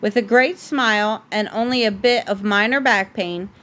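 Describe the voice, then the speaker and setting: A woman's voice: mid-range voice, normal speed, fairly bright tone. A woman reading a storybook aloud as a parent at home, with casual asides and the odd stumble over words. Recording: noisy home recording.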